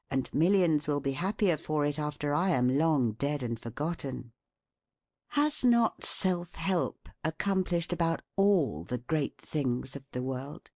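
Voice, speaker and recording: An audiobook narrator reading scripted prose in a measured, formal and deliberate way. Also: medium pitch, mellow, no room sound, studio quality.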